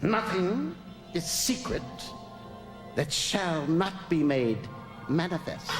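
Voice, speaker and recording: A man acting in a radio drama as a serene, haughty alien ruler of the moon, deliberate and imperious, with coolly menacing undertones. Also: a little high, very animated, long reverb tail. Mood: cool menace. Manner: slow, deliberate, imperious, with musing hums and chuckles. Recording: music behind the voice.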